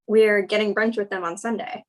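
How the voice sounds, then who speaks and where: A woman playing a frank twenty-seven-year-old girlfriend in a screenplay table read, speaking in a dry, deadpan way. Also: medium pitch, moderate pace, lightly acted.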